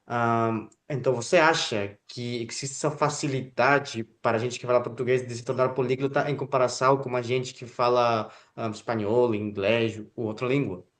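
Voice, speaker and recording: A man, Spanish his first language, hosts an interview in Portuguese at a middling pitch. He gropes for words, filling gaps with drawn-out filler sounds and restarting phrases as he goes. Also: steady tone, a bit bright. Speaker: interview host.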